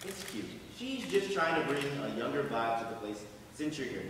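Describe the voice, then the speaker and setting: A man on a school stage playing an awkward, soft-hearted young police officer, polite and hesitant. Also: medium pitch, lively intonation, moderate pace, natural voice. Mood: polite, helpful. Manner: hesitant, polite, eager to help. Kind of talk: high school stage comedy acting. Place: indoor school theater stage, mic far off. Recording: echoey, distant, noisy hall recording.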